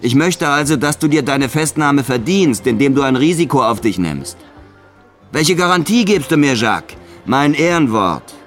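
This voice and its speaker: A man acting out a standoff between a cornered gangster and a police commissioner in a radio drama, calling out loudly with a strained, challenging delivery. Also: medium pitch, lively intonation, moderate pace, faint music behind, voice pushed up, close to an everyday voice. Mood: defiant, loud. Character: cornered male gangster and police commissioner shouting through a door. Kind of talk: acted radio drama dialogue.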